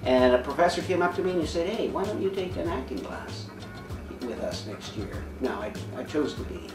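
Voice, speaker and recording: A man's voice: on the high side, animated, a little fast, reverberant, far from the mic, music in the background. Self-mocking man in his sixties. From Saskatchewan, Canada. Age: 60s. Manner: self-mocking, laughs often. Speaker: guest actor. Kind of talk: casual interview chat.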